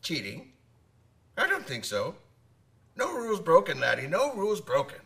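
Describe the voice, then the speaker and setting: A man's voice: fairly high pitch, wildly swooping pitch, moderate pace, gravelly, pushed and bright, exaggerated voice. An actor in a radio drama playing a hearty old one-eyed sailor who spins boastful yarns in folksy nautical talk, repeating his phrases. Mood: sly, hearty. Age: older.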